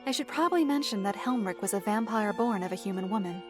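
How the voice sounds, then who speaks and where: A woman's voice: medium pitch, animated, close to an everyday voice. A reserved woman storyteller from a fantasy audio drama, played by an actress. She chooses her words with formal precision and keeps a composed, dryly stern tone. Music plays behind her voice. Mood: solemn storytelling. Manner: measured, formal, precise phrasing. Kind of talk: fantasy visual novel audio drama acting.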